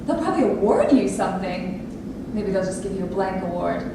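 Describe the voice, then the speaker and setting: Sarcastic woman acted, disdainful, mid-pitched, staged reading, very echoey distant recording. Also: sing-song, brisk. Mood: sarcastic, cool. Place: theatre space, recorded from audience distance. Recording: echoey room, far mic, noisy.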